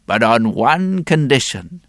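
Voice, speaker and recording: A man voicing a mischievous old man, the king's long-lost brother, who talks loudly with high, squealing glee. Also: sing-song, takes it slowly, no room sound, clean studio sound. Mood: gleeful. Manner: gleeful, loud, high and squealing.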